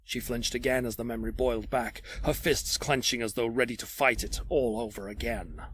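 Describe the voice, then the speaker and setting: A man's voice: medium pitch, steady tone, a little fast, fairly bright tone, a little hiss or hum. A man giving dramatic scripted narration for an audio drama.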